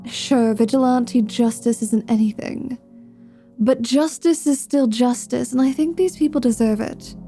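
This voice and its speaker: A woman's voice: fairly high pitch, dark tone. A woman voicing a flirty, sardonic, clever vampire rogue in an ASMR fantasy roleplay, with a teasing, impatient, sweary manner.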